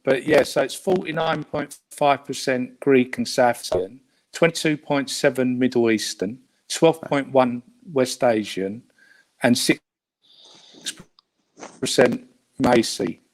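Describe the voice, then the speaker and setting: A man's voice: medium pitch, slow pace, low-quality recording. A man with a Cockney accent from England, chatting as a guest on a video call, hesitant with many fillers and restarts. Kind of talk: casual video call chat.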